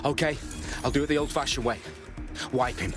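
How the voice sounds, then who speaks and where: An actor playing a gruff, foul-mouthed resistance operative in a video game, blunt and urgent, with music behind. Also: male voice, medium pitch, steady tone, brisk, close to an everyday voice. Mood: urgent, resolute.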